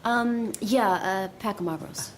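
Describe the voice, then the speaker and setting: A woman's voice: medium pitch, unhurried, noisy background, natural voice. A woman playing a puzzled everyday woman. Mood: questioning. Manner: conversational, increasingly bewildered. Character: puzzled young woman shopper and office worker. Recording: crowd murmur behind.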